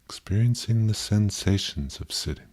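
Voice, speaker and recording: Low, mellow male meditation guide. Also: animated, breathy edge. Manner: gentle, contemplative, pausing, self-questioning.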